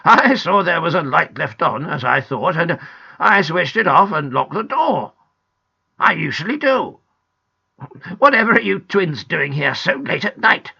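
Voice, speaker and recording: A woman's voice: lowish voice, animated, unhurried, gravelly, bright, forceful tone, breathy edge, highs cut off, full character voice. A woman voicing a blustering, indignant old grandfather in a children's storybook. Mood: stern.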